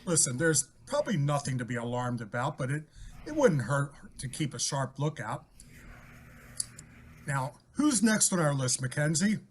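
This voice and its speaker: A man playing a halting, officious police inspector in a radio play, often correcting himself. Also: a little high, very animated, a little hiss or hum. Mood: reassuring. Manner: hesitant, self-correcting. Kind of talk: old-time radio play acting.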